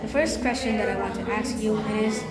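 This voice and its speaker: A woman's voice: mid-range voice, fairly quick. A woman acting as examiner in a mock speaking test, asking brief questions with an "okay, so" each time. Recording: echoey room with loud crowd.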